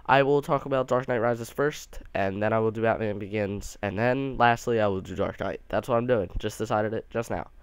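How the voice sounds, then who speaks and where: A man's voice: lowish voice, a little fast, hardly any pauses, smooth, no room sound. A young man giving a casual, rambling movie review, sounding a bit nasal from allergies, with many restarts.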